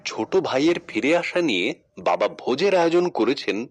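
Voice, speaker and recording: A man narrating a scripted Bible story for children in a dramatic, expressive way. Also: medium pitch, moderate pace. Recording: clearly noisy background.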